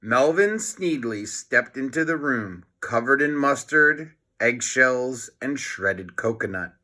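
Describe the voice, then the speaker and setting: Bright, unhurried man reading a storybook. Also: medium pitch, lively intonation. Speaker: storybook reader. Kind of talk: expressive read-aloud narration for children.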